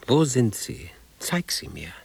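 An actor playing a haunted, defiant, drunken war survivor in a radio drama, husky and breathy, swinging between murmurs and outbursts. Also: male voice, medium pitch, big pitch swings, slow, darkish tone, no room sound, full character voice. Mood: fierce. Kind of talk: poetic radio drama acting.

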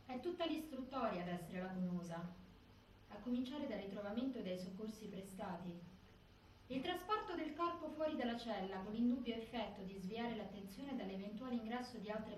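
A woman's voice: fairly high pitch, natural voice. An actress playing an accusing woman who makes a formal, pointed argument in a theatrical documentary monologue. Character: accusing woman arguing a flawed investigation. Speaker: stage actress presenting a legal objection. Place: indoor stage, picked up from afar. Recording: distant, echoey, noisy theatre recording.

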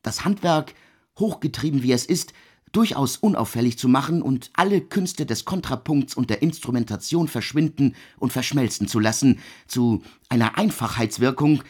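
An actor playing a proud, intellectual German composer in a radio drama, speaking in earnest, eloquent, deliberate phrases. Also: male voice, mid-range voice, expressive, a little mellow, breathy edge. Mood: intense, cerebral. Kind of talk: literary radio drama dialogue.

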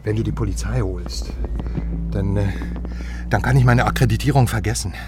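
An actor playing a stout West German journalist, wheedling and brash, in naturalistic radio drama style. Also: male voice, on the low side, moderate pace, lightly acted. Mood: relieved, wary. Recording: music behind the voice.

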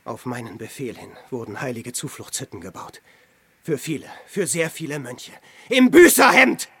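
Tormented, gravelly hermit saint. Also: male voice, mid-range voice, huge pitch swings, normal speed, a bit bright, dry recording, heavily acted. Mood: proud, anguished. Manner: anguished, swinging from whispers to cries. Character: tormented, self-pitying hermit saint, ascetic man, bitter and proud. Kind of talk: radio drama monologue.

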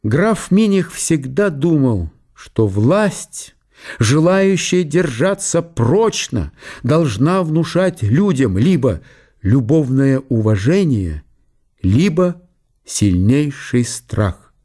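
A man's voice: mid-range voice, big pitch swings, slow, warm tone. A man narrating a historical audio play in a scripted, literary storytelling style.

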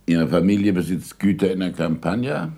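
Very deep, creaky old scholar. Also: male voice, lively intonation, mellow, full character voice. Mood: brusque, dry. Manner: deliberate, didactic, probing questions. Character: Galileo, gruff scholarly older man, impatient yet passionate teacher. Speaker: actor in a radio play. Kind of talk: 1960s German radio drama acting. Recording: noisy old radio drama recording.

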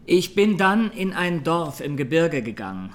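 An actor playing a young Armenian massacre survivor giving halting, sombre testimony in a radio drama. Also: male voice, on the high side, big pitch swings. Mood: sober recounting.

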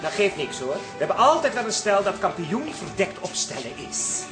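An actor playing a camp, gushing ballroom dance teacher in a radio comedy. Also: male voice, high voice, big pitch swings, normal speed, music in the background.

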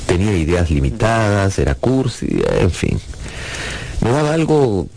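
A man's voice: lowish voice, sing-song, measured pace, darkish tone, slight background noise. An actor playing a frivolous middle-aged womanizer who hesitantly confesses his past romance in a radio drama. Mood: wry, scornful. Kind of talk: radio drama acting, first-person recollection.

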